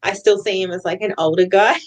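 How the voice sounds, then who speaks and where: A woman's voice: medium pitch, fairly quick, muffled recording. A woman co-hosting a book club, chatting casually about novels and often giggling as she talks. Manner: giggly, often laughing while talking.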